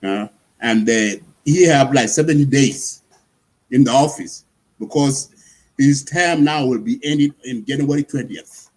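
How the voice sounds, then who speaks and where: A husky-voiced man from talks through his points in a relaxed panel discussion. He explains patiently, repeating himself and asking "you see?" as he goes. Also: mid-range voice, measured pace, low-quality recording. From South Sudan.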